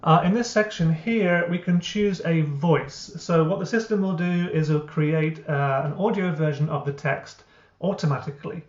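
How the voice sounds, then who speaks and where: A man, the founder of a language app, walking through his software step by step in an explanatory way, with frequent uh and um. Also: fairly high pitch, normal speed. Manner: explanatory, many uh and um fillers. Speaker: software founder giving a tutorial. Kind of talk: screen-recorded software walkthrough. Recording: roomy, dull lo-fi.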